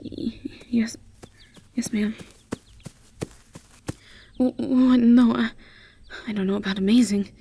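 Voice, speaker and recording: A woman's voice: on the high side, fairly even intonation, slow, long pauses, dark tone, husky, full character voice. A woman playing a timid, stammering young female student. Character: shy, nervous, kind-hearted young female academy freshman. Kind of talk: amateur fantasy audio roleplay acting.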